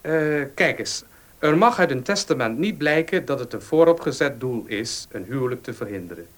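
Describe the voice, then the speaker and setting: An actor in a Dutch radio play playing a cautious, formal notary who speaks in a deliberate, hesitant way. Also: male voice, medium pitch, expressive, natural voice. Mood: cautious, explanatory.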